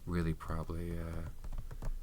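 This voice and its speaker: Soft spoken asmr guy, low voice, kinda hesitant rambly chat, bit of noise w tapping and clicks. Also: monotone, takes it slowly. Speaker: video host showing movie collection. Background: tapping and handling of movie cases.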